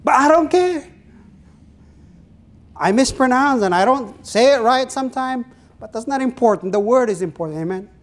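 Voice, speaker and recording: Filipino-accented pastor preaching. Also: male voice, extremely high pitch, expressive. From Philippines. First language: Ilonggo. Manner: earnest preaching, drawn-out phrases, asks the congregation questions. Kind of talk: sermon. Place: small church stage with pulpit.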